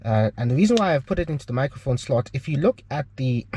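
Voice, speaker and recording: A man's voice: medium pitch, very animated, fast talker, a little mellow, a little hiss or hum. A man with a South African accent and a mid-range voice hosts an informal how-to. He explains equipment step by step in a hesitant, explanatory manner, feeling his way through the wording. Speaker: aviation channel host. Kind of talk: informal spoken tutorial, demonstrating gear.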